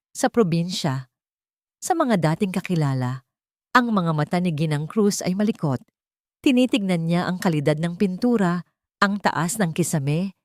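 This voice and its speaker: A woman's voice: lowish voice, a little mellow, no echo, studio quality. A woman with a Filipino accent reads a dramatic scripted love story as its narrator.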